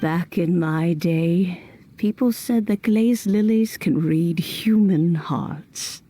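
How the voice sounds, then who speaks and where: Elderly game guardian: gentle, wistful granny with gravelly, slow speech over music. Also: female voice, on the low side, exaggerated voice. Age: older. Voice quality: creaky, aged. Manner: slow, ruminative. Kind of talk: video game voice acting. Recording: music behind.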